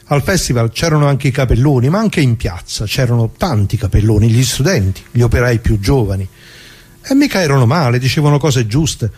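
Husky old Italian working man. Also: mid-range voice, animated, a little mellow. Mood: nostalgic, earnest. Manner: folksy, halting, with rhetorical tags. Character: elderly working-class Italian ex-bricklayer, plain-spoken, reminiscing. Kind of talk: radio drama monologue, conversational and hesitant.

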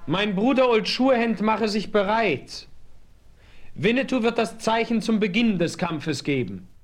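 A noble chief of the old West, with a somewhat high male voice. He speaks with ceremonial solemnity and calm authority, in radio play style. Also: a little slow. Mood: commanding, solemn. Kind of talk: 1970s western radio play acting.

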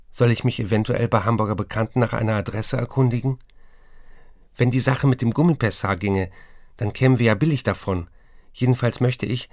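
A man's voice: mid-range voice, no room sound, lightly acted. An actor playing a besotted, restless writer in a radio play, reading his letters aloud with dry, precise, literary phrasing.

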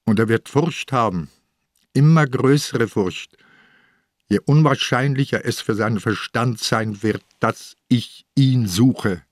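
A man's voice: medium pitch, no room sound, clean studio sound. A man playing a sick, stubborn old police inspector in a German radio drama, weighty and grimly determined. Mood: insistent, intense. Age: older. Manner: deliberate, emphatic, weighty. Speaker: actor in a crime radio play.

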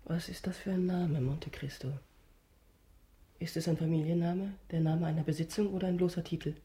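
A woman's voice: lowish voice, fairly even intonation, normal speed, mellow, close to an everyday voice. A woman acting a dignified, restrained middle-aged countess and mother in a German radio drama, speaking graciously and formally. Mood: uneasy.

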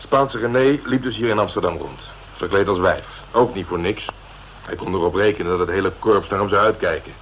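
An actor playing a gruff, streetwise veteran police detective, blunt and domineering in colloquial radio drama dialogue. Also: male voice, deep voice, brisk, a bit bright. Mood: wry. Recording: dull, noisy old radio recording.

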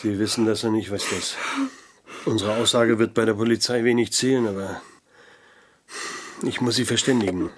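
A man's voice: lowish voice, fairly even intonation, normal speed, long pauses, natural voice. An actor playing a world-weary private detective in a noir radio drama, terse and sober as he tells his case. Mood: wary. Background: music.

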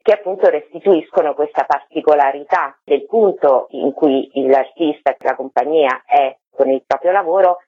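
A woman's voice: low-pitched, normal speed, hardly any pauses. A woman interviewed by phone, explaining in a wordy, reflective way and rephrasing as she goes. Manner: wordy, reflective, self-correcting. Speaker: festival artistic director, interview guest. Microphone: telephone. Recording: tinny, dry phone line.

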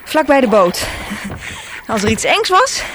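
An actress playing a cheerful sister who travels the world, breezy and teasing, in a Dutch radio play. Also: female voice, sits high, very animated, unhurried, noisy background. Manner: breezy and teasing, with frequent laughs. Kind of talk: radio drama acting.

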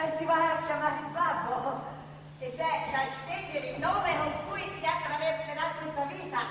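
A woman acting a brisk, motherly, teasing Florentine midwife in a stage play. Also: high-pitched. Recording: distant echoey theatre recording, noisy.

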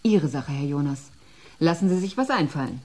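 Curt, mellow-voiced female official. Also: deep voice, lively intonation, normal speed. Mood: curt, formal. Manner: curt, formal, businesslike. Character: stern, dry female ministry official with academic title. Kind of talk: radio drama acting.

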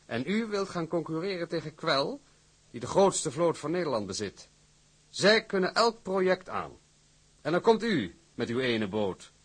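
An actor playing a cautious, courteous shipping director in a period drama, formal and sceptical. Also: male voice, a little high, sing-song, unhurried, breathy edge, full character voice. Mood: warning, sceptical. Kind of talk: acted period drama dialogue.

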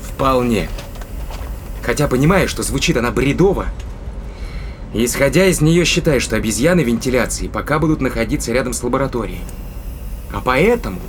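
An actor in an audio drama playing a determined spaceship captain who reasons emphatically and deliberately. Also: male voice, mid-range voice, expressive, a little hiss or hum, lightly acted. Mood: calculating.

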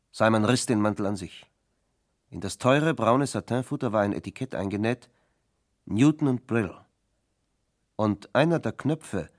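A man narrating a German radio crime drama from a script in a measured, suspenseful manner. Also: lowish voice.

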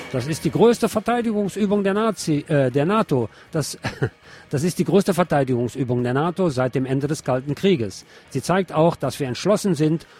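A man's voice: a little high, a little mellow. A man presenting radio news, reading reports in a scripted style and slipping in sardonic asides. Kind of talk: reading news bulletins with wry commentary.

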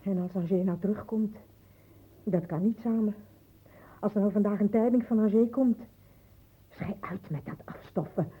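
A woman playing a reproachful, insistent middle-aged wife and mother in a radio drama, her voice husky. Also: mid-range voice, normal speed, long pauses, lightly acted. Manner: insistent, repetitive, accusing. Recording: muffled, narrow phone-like sound.

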